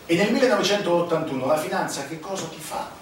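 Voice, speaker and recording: A man's voice: high-pitched, lively intonation, fast talker. A man playing a sardonic stage narrator who recounts scandals in a rhetorical, conversational monologue. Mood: wry, pointed. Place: echoey theatre stage. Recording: very echoey theatre, far mic, noisy.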